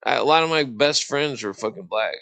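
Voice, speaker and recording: A man's voice: medium pitch, expressive, fairly quick, gravelly, bright, forceful tone, no room sound. A man hosting a podcast, arguing in a combative, profane and blunt way, often cutting in over the other person. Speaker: podcast host. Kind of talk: heated argumentative livestream chat.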